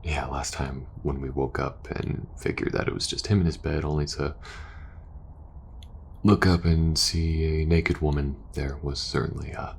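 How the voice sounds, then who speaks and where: A man playing a devoted, anxious young soldier in an intimate audio roleplay, speaking in a hushed, hesitant, tender way. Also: a bass voice, steady tone, moderate pace, creaky, a little hiss or hum. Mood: shy, awkward.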